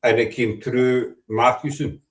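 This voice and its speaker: A man from Scotland with a Scottish accent, chatting casually in a halting way, often repeating words, with a dry sense of humor. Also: medium pitch, fairly even intonation, distant mic. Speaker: paranormal investigator. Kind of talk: casual chat.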